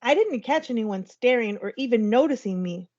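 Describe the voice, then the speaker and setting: A woman's voice: fairly high pitch, expressive, normal speed, darkish tone, lo-fi sound. A woman, a teacher, reading a storybook aloud to young listeners. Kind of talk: storybook read-aloud narration.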